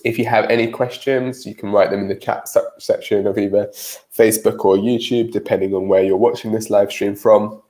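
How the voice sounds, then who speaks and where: A man's voice: lowish voice, fairly even intonation, fairly quick, dark tone. A British man with a cold and a nasal voice, giving a live-streamed career webinar presentation with frequent ums.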